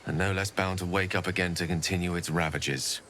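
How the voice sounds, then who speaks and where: A man's voice: deep voice, fairly quick, slight background noise. A man voicing an erudite, wordy wizard who speaks wryly and eloquently. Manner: wry, eloquent, expressive. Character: erudite male wizard, wry and verbose.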